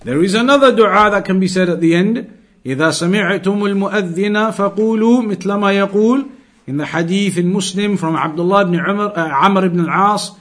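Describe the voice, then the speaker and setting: A man's voice: high-pitched, fairly bright tone. A man giving an Islamic religious lesson in an emphatic, didactic manner, repeating key phrases as he explains scripture. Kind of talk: religious lecture, quoting and translating scripture.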